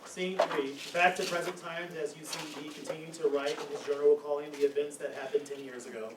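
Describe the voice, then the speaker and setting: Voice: medium pitch, fairly even intonation, brisk. A narrator reading stage directions from a script at a staged play reading. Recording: roomy, distant mic, clear background noise.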